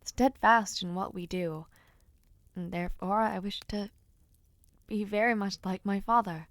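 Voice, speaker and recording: A woman's voice: mid-range voice, steady tone, a little slow, dry recording, natural voice. Woman playing a genteel young noble lady. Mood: fond. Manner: formal, genteel speech with soft hesitant fillers. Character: young noble lady, aspiring knight, lively and affectionate. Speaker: audio roleplay performer. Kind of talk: intimate audio roleplay.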